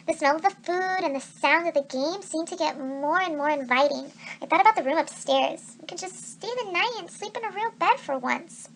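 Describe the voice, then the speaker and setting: A woman reading a children's adventure novel aloud as a teacher would, with expressive narration. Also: high voice, a little hiss or hum.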